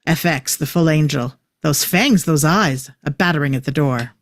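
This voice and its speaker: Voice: medium pitch, normal speed, dry recording, studio quality. A narrator reading stage directions from a drama script.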